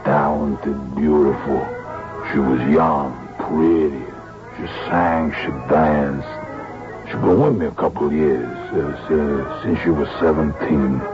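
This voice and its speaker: An actor playing a chatty, cagey music hall boss in an old-time radio drama, talking in a halting way. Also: male voice, extremely low pitch, steady tone. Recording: dull lo-fi old radio recording, music behind.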